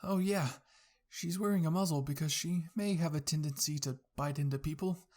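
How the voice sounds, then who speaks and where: A narrator voicing an earnest, excitable young male scout in an anime story reading. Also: mid-range voice, moderate pace, natural voice. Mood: awkward.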